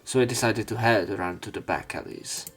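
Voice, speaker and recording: A man with a low voice narrates a visual novel for a let's play. He reads the script aloud with some drama, over background music. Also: brisk, fairly bright tone, husky.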